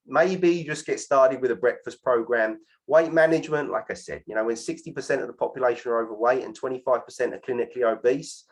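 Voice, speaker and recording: A man around forty, upbeat and persuasive, who tags "right?" onto nearly every line as he pitches. His voice sits at an ordinary middle pitch for a man. He is talking over a video call. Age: 40s. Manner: keeps adding "right?" to sentences, lively and persuasive. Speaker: host of an online presentation.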